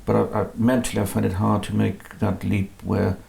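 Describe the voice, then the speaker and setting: A man's voice: lowish voice, level tone, normal speed, vocal fry, mellow. A man, a composer being interviewed in his music room, talking in a modest, hesitant way with many restarts. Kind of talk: relaxed conversational interview.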